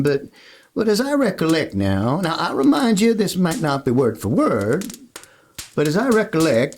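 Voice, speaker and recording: A man's voice: on the high side, heavily acted. A man playing an easygoing, kindly Texas cowboy with a hesitant, rambling folksy manner in a Western radio play. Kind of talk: old-time Western radio play acting. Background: plucked guitar music.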